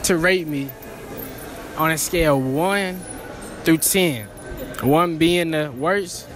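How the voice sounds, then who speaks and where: A man's voice: on the high side, slow pace, background noise. A young man running a casual street interview in a shopping mall, playful and teasing, asking for ratings and repeating "for real". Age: young adult. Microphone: handheld phone.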